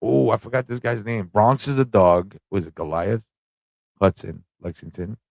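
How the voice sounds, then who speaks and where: A man's voice: lowish voice, animated, moderate pace, gravelly, a little mellow, dry recording. A man hosting a livestream in a casual, rambling way, thinking aloud and repeating phrases as he goes. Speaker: livestream show host.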